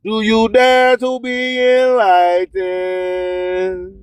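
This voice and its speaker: A man's voice: high-pitched, monotone, extremely slow, background noise. A man hosting a radio show, delivering promotional patter and preachy slogans, repeating phrases for emphasis. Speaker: radio host.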